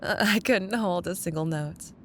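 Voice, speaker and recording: A woman playing a caring, wistful young childhood best friend in an intimate, gently teasing ASMR roleplay. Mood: giggly nostalgia. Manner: tender, unhurried, teasing. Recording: audible low rumbling background noise.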